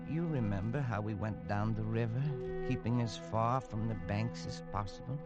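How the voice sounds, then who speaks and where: A man acting as a rattled explorer who stammers through his fears, low in pitch, voiced as a vintage radio drama. Heavy music swells behind the voice on this old broadcast recording. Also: normal speed, muffled recording, close to an everyday voice. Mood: grim recollection. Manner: tense, stammering, jumpy delivery. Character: frightened adult male explorer recounting being hunted. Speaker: narrator and lead character.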